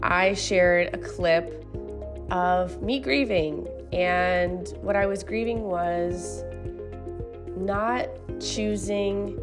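A woman author talking casually and earnestly about her writing, sounding a little stuffy from a cold, often checking in with the listener. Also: lowish voice. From Michigan. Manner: chatty, earnest, frequent "right?" asides. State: stuffy nose. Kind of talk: casual chat.

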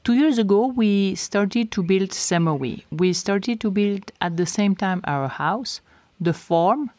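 A woman's voice: mid-range voice, expressive, a little slow, a little mellow, no room sound. A middle-aged woman from French-speaking Switzerland with a Swiss French accent, whose first language is French, hosting a casual podcast and often repeating words for stress. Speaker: podcast host and lodge co-owner.

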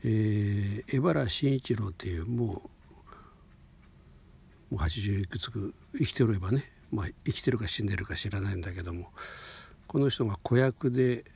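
An elderly man in his eighties from Hokuriku, Japan, recounting personal memories in an unscripted, halting, meandering way with a husky, gravelly voice. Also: lowish voice. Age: 80s. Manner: halting, meandering, with sentence-final softeners.

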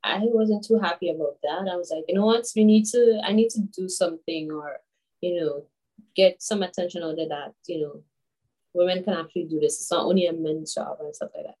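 A woman in her twenties from Grenada, a guest telling stories in a casual interview, chatty and animated with many fillers. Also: fairly low pitch, brisk, rough recording. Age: 20s. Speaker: guest, children's author.

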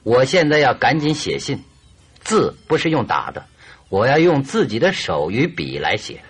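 A man's voice: mid-range voice, huge pitch swings, moderate pace, breathy edge. A man acting in a radio drama as a confident, teasing detective who speaks with composure and likes to hold things back. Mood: amused, decisive. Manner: composed, teasing, withholding, with knowing chuckles.

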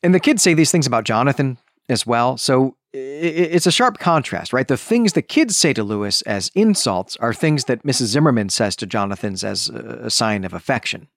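A man's voice: medium pitch, expressive, normal speed, vocal fry, few pauses, no room sound, studio quality. A man hosting a podcast, talking through a book in a conversational, emphatic way and often checking in with the listener. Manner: emphatic, checks in with rhetorical "right?". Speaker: podcast host. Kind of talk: conversational book discussion and plot recap.

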